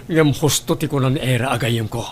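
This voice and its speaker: A man's voice: mid-range voice, brisk, slight background noise, natural voice. A man with a northern Philippine accent acting an earnest, guilt-ridden husband and father in an audio drama. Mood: pleading. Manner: earnest, pleading. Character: guilt-ridden married father torn between family and mistress.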